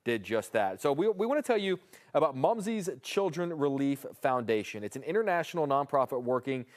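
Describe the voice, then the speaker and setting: A man's voice: mid-range voice, very animated, brisk. An American man hosting live morning television, chatting and introducing a guest. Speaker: TV news host. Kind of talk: live morning news banter and interview.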